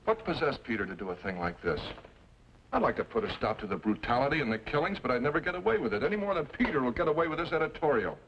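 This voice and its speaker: An actor playing a weary, dutiful frontier marshal with a gruff, curt manner in an old-time radio western. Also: male voice, mid-range voice, big pitch swings, quick pace, a little hiss or hum. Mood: troubled, resigned. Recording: muffled old radio recording with music.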